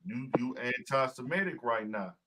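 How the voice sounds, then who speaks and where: A man hosting a podcast in casual chat, talking in a profane, emphatic, animated way. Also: mid-range voice, moderate pace, background noise. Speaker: podcast host.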